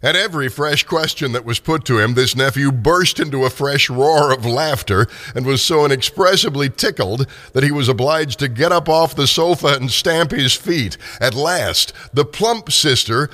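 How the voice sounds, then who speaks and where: An older man narrating a story dramatically, with theatrical, deliberate phrasing. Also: medium pitch, sharp tone. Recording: long reverberant tail on voice.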